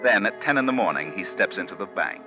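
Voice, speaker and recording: Sinister crime-tale narrator, deep voice, dramatic swings, 1940s radio narration, music underneath, lo-fi. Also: male voice, expressive. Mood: ominous. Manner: ominous, measured. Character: ominous omniscient storyteller of a crime tale. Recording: muffled vintage 1940s radio recording.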